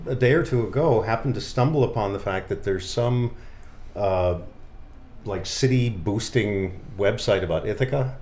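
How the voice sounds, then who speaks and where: A middle-aged man from the Boston area, a magician, telling halting anecdotes in casual conversation. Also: on the low side, darkish tone, roomy sound. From Boston area, United States. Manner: halting, anecdotal storytelling with many restarts. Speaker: guest, magician.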